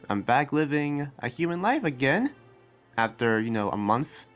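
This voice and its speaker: A man playing an earnest, awkward demon slayer and researcher in an improvised anime game roleplay, speaking haltingly. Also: mid-range voice, very animated, fairly bright tone, soft background music. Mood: earnest, sheepish.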